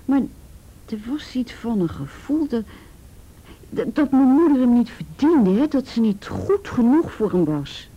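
A woman's voice: fairly high pitch, big pitch swings, unhurried, warm tone, highs cut off, exaggerated voice. An actress playing a frightened teenage girl in a Dutch radio play, stammering and repeating words as she recounts painful memories. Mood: hesitant, wistful.

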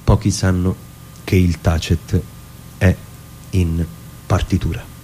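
A man performing a dramatic scripted narration in a theatrical, musing manner, with deliberate pauses. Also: deep voice, little pitch movement, mellow, slight background noise, rough recording. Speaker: performer reading a literary narration. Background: plucked guitar music.

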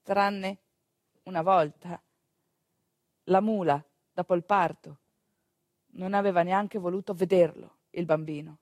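A woman narrating a stage monologue as an actress, in an expressive, conversational and earthy storytelling manner. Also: lowish voice, measured pace, pauses often, dry recording, studio quality.

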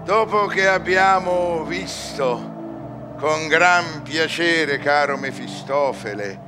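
A man's voice: on the high side, slow pace, music in the background. A male actor playing an eager, pleasure-hungry scholar, declaiming and savouring his words in a theatrical radio drama. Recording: clear background noise.

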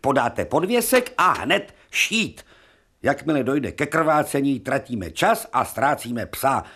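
A man acting in a radio play as a commanding, brisk surgeon professor who gives clipped, imperious orders. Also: mid-range voice, very animated, sharp tone, breathy edge, heavily acted. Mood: commanding, urgent. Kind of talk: theatrical radio drama acting.

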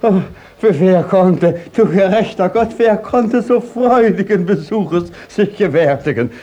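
A man playing a shifty, battered village judge in a German radio play, blustering and stammering evasively with a husky voice. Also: high voice, lively intonation, slow, mellow, lo-fi sound, full character voice. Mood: obsequious, flustered. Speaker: actor in a radio drama.

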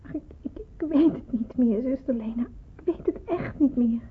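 A muffled, phone-like old radio recording carries a very husky young woman. She is an anxious young nurse in a wartime drama, hesitant and respectful, with a slightly high voice. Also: normal speed. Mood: distraught, doubting. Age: young adult. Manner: hesitant, deferential. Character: anxious, questioning seventeen-year-old nurse in wartime hiding. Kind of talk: Dutch radio play acting.

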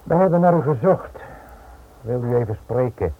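A man acting a smooth, menacing Italian financier in a radio play, polite, insinuating and pressing. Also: mid-range voice, animated, moderate pace. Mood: smooth pressure. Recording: tinny, noisy old radio play recording.

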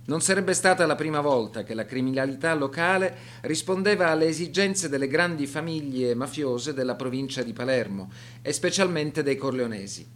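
A man narrating a scripted documentary radio drama in a formal, deliberate manner. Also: fairly high pitch, animated, fairly bright tone.

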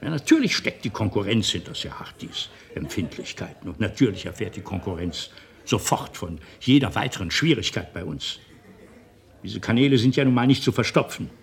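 A domineering middle-aged patriarch in a radio drama. His voice is low and swoops widely as he lectures with patronizing, self-righteous rhetoric, pressing and manipulating his listener. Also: animated, brisk, vocal fry. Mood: persuasive, exasperated. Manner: rhetorical, patronizing, swinging from reasoned to indignant. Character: domineering company boss father, self-righteous, manipulative, pleading. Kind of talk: German radio drama acting.

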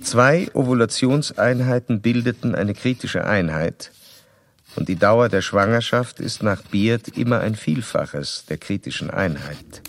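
A man's voice: on the low side, animated, unhurried. An actor playing a self-assured male psychoanalyst in a German radio play, didactic and pressing as he questions a patient. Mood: lecturing, probing.

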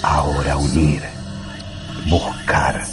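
A wise old alien in a radio drama, deep and extremely unhurried. He is calm and cryptic, speaking in halting, broken syntax, with music clearly behind him. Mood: solemn, cryptic. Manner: halting broken syntax, solemn, fragmented phrases.

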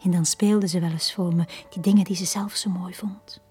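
A Flemish actress playing a lonely, downtrodden young bar girl in a radio drama, speaking in a hushed, halting, confiding way. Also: on the low side, fairly even intonation, soft background music. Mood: wistful, tender. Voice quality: breathy, hushed.

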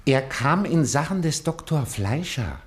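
An actor playing an obsequious, dutiful clerk to a Prussian official, reporting formally and servilely in a German radio play. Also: male voice, fairly high pitch, animated, unhurried.

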